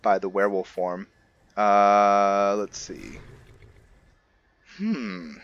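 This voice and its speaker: A man's voice: lowish voice, steady tone, drawn-out words, a bit bright, no echo, dull audio. A man giving casual commentary as he plays a video game, musing aloud in a laid-back way. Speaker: host of a video game roleplay series. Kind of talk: casual let's-play commentary.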